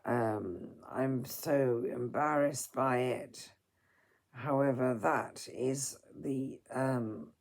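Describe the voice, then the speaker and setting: Very slow-speaking, hoarse, tired woman. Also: a bass voice, a little mellow. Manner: halting, apologetic, formal wording. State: hoarse, sore dry throat, tired. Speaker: storyteller reading aloud and singing. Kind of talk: informal apologetic talk to listeners.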